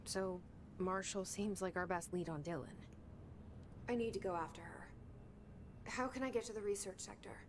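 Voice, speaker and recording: A woman plays a guarded, determined young heroine from a video game, speaking in short, clipped lines. Her voice sits mid-range, with clear hiss and noise behind the game audio. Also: mid-range voice, moderate pace, close to an everyday voice.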